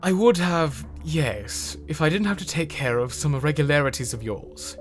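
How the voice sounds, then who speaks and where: A man's voice: a little high, lively intonation, normal speed, close to an everyday voice. A man playing a proud, zealous military general with a formal, speechifying manner in a dramatic comic dub. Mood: sardonic, reproachful. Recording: music behind the voice. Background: music.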